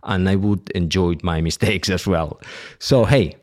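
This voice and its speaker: A middle-aged man with a Catalan accent, speaking English as a second language in a halting solo monologue with many restarts and fillers. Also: deep voice. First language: Catalan and Spanish. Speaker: podcast host.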